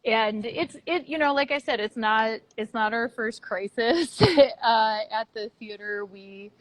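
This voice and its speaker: A woman's voice: fairly high pitch, measured pace, no echo, poor audio. A woman chatting casually and cheerfully as a guest in an online video interview. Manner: upbeat, chatty. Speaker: puppet theater director, interview guest. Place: at the theater, moving between outside and lobby on a video call. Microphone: phone or laptop on a video call.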